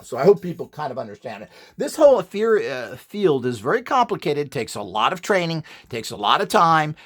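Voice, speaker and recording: Animated, higher-pitched man lecturing informally. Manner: opinionated, blunt, sarcastic asides. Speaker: instructor presenting a build tutorial.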